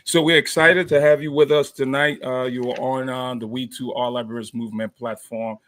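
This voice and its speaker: Guy hosting a podcast, lots of uhs and restarts, mid pitch. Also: steady tone, a bit bright. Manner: halting, many fillers and restarts. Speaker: podcast host. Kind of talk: interview introduction.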